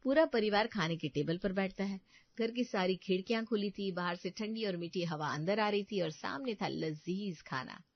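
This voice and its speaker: A woman's voice: mid-range voice, moderate pace, dry recording, highs cut off. A woman reading scripted story narration as the narrator.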